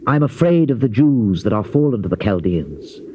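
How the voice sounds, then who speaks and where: A man's voice: lowish voice, big pitch swings, normal speed, a little mellow. A man playing a weak, fearful king with formal, grave speech in a biblical audio drama on old cassette. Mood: grave, uneasy. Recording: muffled, noisy old cassette tape.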